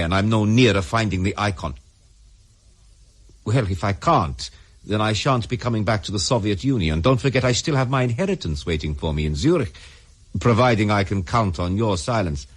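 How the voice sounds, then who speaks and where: An actor playing a cool, calculating Soviet major in a radio drama, husky and controlled, with an insinuating manner. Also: male voice, fairly low pitch.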